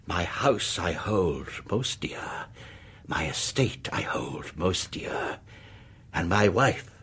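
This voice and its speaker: An actor playing a pompous, sinister, possessive English lord of a manor in a radio drama, speaking in measured, theatrical oratory with a husky voice. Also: male voice, deep voice, big pitch swings, fairly bright tone, exaggerated voice. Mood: menacing, possessive.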